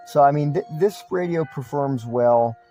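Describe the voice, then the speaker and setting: A man's voice: fairly high pitch, normal speed, dark tone, faint music behind. A man giving a casual, unscripted product review in a matter-of-fact, plain-spoken way.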